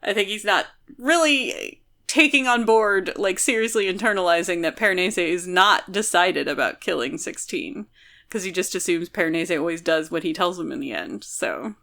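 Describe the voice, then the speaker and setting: A woman's voice: fairly low pitch, lively intonation, moderate pace, creaky, sharp tone. A woman co-hosting a podcast, talking through a novel in a casual, analytical way and explaining her reasoning at length. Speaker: podcast co-host discussing a novel. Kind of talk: casual analytical book discussion.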